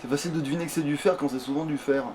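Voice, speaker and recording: A man's voice: a little high, rapid-fire. A man chatting casually as he plays a video game, thinking aloud with slang and swearing. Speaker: video game let's player at the controls. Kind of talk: casual gaming chat, commentary while playing. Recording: steady background noise, amateur gameplay recording.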